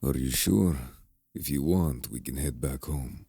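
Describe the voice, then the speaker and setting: A man acts a quietly reassuring male companion in an audio drama. His voice is very deep and breathy, recorded dry. He speaks in a hushed, protective way. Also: unhurried, no room sound, exaggerated voice. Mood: concerned. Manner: hushed, concerned.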